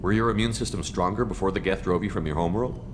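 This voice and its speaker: An actor playing a serious military space commander in a video game, with a matter-of-fact manner. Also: male voice, deep voice, fast talker, noisy background, natural voice. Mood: probing, serious.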